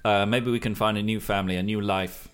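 A man playing a boy on a beach who tries to act grown-up, in a dry, deadpan way. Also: fairly low pitch, fast, smooth, close to an everyday voice.

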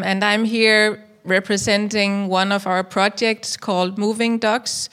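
A woman's voice: medium pitch, fairly bright tone. A woman introducing her work informally as a panelist at a live talk. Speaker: panelist, project manager. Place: talk in front of an audience.

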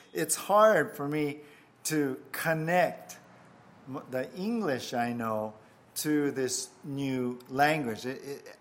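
A man whose first language was Japanese, a pastor opening with prayer and then giving a conversational, self-deprecating sermon full of restarts. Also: medium pitch.

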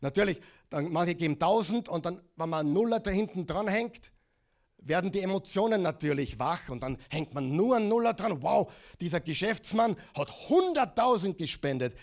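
Austrian guy preaching, pretty high voice, lively, gets the crowd to say words back. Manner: lively preaching, asks the congregation to repeat words. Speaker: pastor. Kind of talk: sermon.

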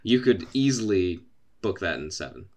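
A man's voice: sits low, sing-song, normal speed, a little mellow. A young man co-hosting a podcast, telling rambling stories full of fillers, swearing and voiced quotes. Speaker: podcast co-host. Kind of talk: casual chat.

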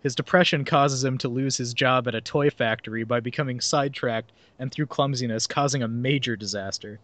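A man's voice: mid-range voice, brisk, a bit bright, no echo. A young man hosting a podcast, chatting casually and reading a summary aloud with sarcastic asides, often laughing mid-sentence. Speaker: podcast host.

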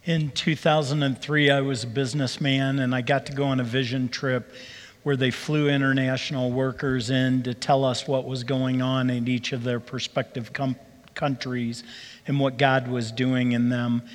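A man's voice: mid-range voice, level tone, moderate pace. A man, the founder of a ministry, earnestly telling his calling story in an interview. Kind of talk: personal testimony. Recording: long reverb of a hall.

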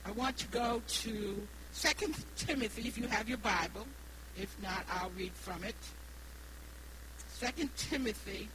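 A woman in her sixties with a New England accent preaches haltingly, repeating phrases and stressing key words. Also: mid-range voice. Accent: New England American. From Rhode Island, United States. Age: 60s. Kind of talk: evangelical sermon with personal testimony. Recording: noisy live recording with hum.

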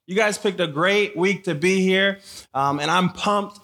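A man's voice: high voice, unhurried, vocal fry, bright, forceful tone. An American man preaching conversationally to a live audience of teens, casually repeating phrases and putting questions to his listeners. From born in Haiti, grew up in Michigan. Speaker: guest camp speaker, preacher.

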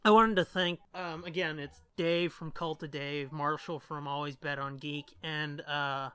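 A man's voice: on the high side, fairly even intonation, measured pace, sharp tone, clear, resonant tone, dry recording. A man hosting a casual podcast, chatting in slangy language with plenty of swearing. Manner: profane, slangy. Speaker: podcast host.

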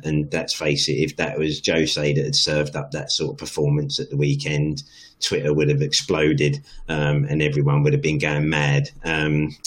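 Very deep, flat-voiced podcast regular. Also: male voice. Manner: rambling, with many tag questions. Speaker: podcast co-host. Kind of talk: casual football podcast chat.

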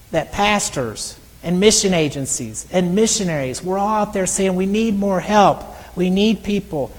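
A middle-aged male missionary preacher with a soft, high voice that is often taken for a woman's, telling stories in a conversational sermon with frequent restarts. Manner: conversational storytelling with repeated restarts. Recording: long hall reverb.

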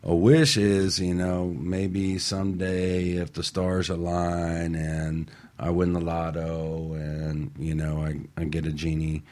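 A low-pitched man speaks as a co-host on motivational talk radio. He makes his points emphatically, in firm declarative statements, and repeats the key ones. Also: completely flat delivery, slow, darkish tone.

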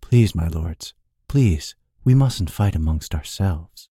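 A sly, world-weary fantasy hireling, as a male reader plays him. The voice sits low, sour and dry under a show of deference, in a close, dry recording. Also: expressive, slow pace, dark tone, husky, dry recording, heavily acted. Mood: placating.

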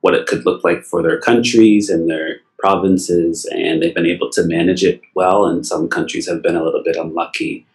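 Canadian guy hosting a relaxed chat, real low creaky voice, enthusiastic and rambly. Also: moderate pace, hardly any pauses. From Nova Scotia, Canada. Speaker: interview host. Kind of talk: casual conversational interview.

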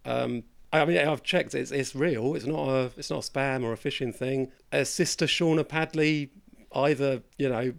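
Male podcast host, hoarse and gravelly, dry humor. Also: mid-range voice, moderate pace. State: croaky, hoarse voice. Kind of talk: casual horror podcast chat.

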